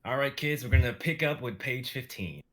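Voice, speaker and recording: A young man chatting casually and haltingly as he organizes a group script reading. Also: mid-range voice, animated, over a noisy crowd. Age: young adult. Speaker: writer-performer on a streaming show.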